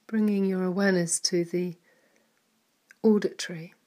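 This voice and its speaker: A woman leading a guided mindfulness meditation in a soft, calm manner, with some words trailing into a whisper. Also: fairly low pitch, normal speed.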